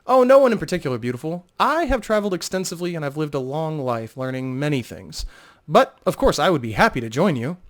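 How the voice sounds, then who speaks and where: A man's voice: on the high side, very animated, fast, voice pushed up. A man voicing a charming, well-travelled bard, gallant and flirtatious, in a dramatic fantasy audiobook reading.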